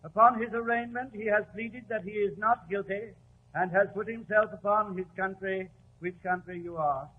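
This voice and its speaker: Man playing a high-voiced, officious court clerk. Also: fairly even intonation, normal speed, natural voice. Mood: solemn, formal. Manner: formal, declamatory. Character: stern, officious male court clerk, formal. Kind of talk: 1940s radio drama acting. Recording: tinny old radio with faint hum.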